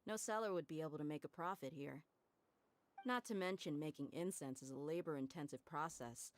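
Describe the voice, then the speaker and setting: A woman's voice: low-pitched, a little fast, a little hiss or hum, natural voice. An actress playing a confident, easygoing, worldly mercenary woman in a video game, assured and casual. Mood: matter-of-fact. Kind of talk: video game dialogue acting.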